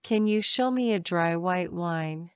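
Clear, low-pitched woman reading drill phrases. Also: no echo, clean studio sound. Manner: measured, careful enunciation. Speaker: English phrase reader. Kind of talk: slow repeated drill phrases.

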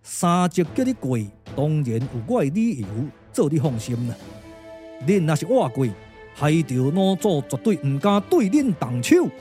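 A man voicing a calm, confident elder general with a Taiwanese accent, in measured, formal puppet-drama declamation. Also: fairly high pitch, faint music behind. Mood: commanding, reassuring. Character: calm, confident strategist general Sun Bin, an elder uncle.

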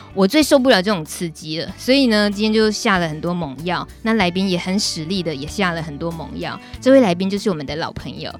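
A woman's voice: on the low side, lively intonation, fast talker, soft background music. Playful Taiwanese woman hosting a chatty radio show. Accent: Taiwanese Mandarin. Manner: playful, teasing, often chuckling. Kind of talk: casual radio chat.

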